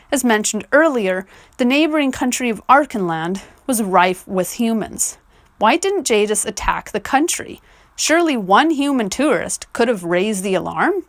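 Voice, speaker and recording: A woman's voice: on the high side, no room sound. A woman delivering scripted video essay commentary in an articulate, questioning manner.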